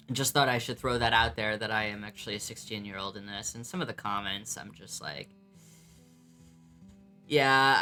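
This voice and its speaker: Young man, light mid-range voice with some bass, loose and blunt reaction-vlog delivery with lots of ums, music playing behind. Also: pushed and bright. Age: young adult. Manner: chatty, blunt and self-mocking, swears freely, many ums. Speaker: vlogger reacting to his old videos. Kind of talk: casual reaction commentary.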